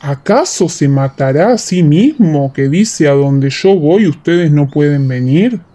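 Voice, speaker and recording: Woman voicing low, challenging skeptical Pharisee. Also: expressive, measured pace, warm tone. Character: group of skeptical Pharisee men, challenging and confrontational. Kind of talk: audiobook radio-play dialogue.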